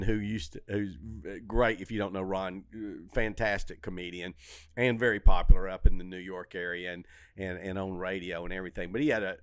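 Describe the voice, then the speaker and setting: A middle-aged man chatting casually as a podcast host, telling stories in an animated, opinionated way and swearing freely. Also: low voice, clean tone, no echo. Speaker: comedy club owner and comedian, podcast host. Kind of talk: casual shop-talk chat.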